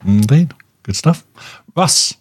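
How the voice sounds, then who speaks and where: A man's voice: medium pitch, expressive, slow pace, breathy edge. A man hosting a podcast, chatting casually about films in an informal, matey way. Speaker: podcast host. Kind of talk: casual film chat.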